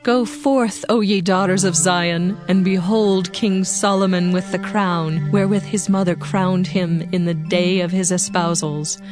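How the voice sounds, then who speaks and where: A woman playing a devoted young bride in a dramatized scripture reading, speaking in a solemn, measured, poetic manner. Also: lowish voice, fairly even intonation, natural voice. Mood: reverent, stately. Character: devoted young bride yearning for her beloved. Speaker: actor reading dramatized audio Bible. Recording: music bed behind the voice.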